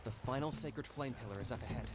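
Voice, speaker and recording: A man's voice: medium pitch, fast, natural voice. A man voicing an encouraging companion character in a fantasy video game. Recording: game dialogue under loud music.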